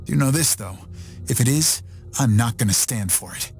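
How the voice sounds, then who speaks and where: A blunt, wisecracking young male ranger in a video game cutscene, cursing freely. His pitch moves in a lively way through his lines. Game music plays clearly behind him. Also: moderate pace. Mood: wry. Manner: wry, blunt, swearing. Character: gruff young male ranger orphan hero.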